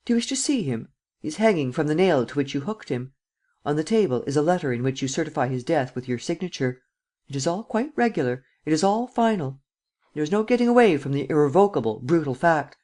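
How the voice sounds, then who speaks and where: A man voicing a coolly sardonic, persuasive prince in an audiobook, speaking with deliberate, imperious command. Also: fairly high pitch, lively intonation, darkish tone, natural voice. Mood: firm, grave. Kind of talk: dramatic audiobook character acting.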